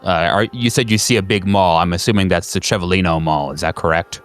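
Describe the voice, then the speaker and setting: A man playing a calm, businesslike agency dispatcher on a phone call in a horror roleplaying game, speaking in a measured, slightly hesitant official way. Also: low voice, clear, resonant tone, faint music behind, voice pushed down.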